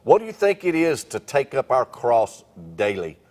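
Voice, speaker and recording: A man's voice: mid-range voice, very animated, moderate pace, a little mellow. A middle-aged man leading a devotional panel as pastor, earnest and encouraging, speaking into a handheld mic outdoors. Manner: earnest, encouraging, repeats words. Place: outdoor stage by a wooden fence.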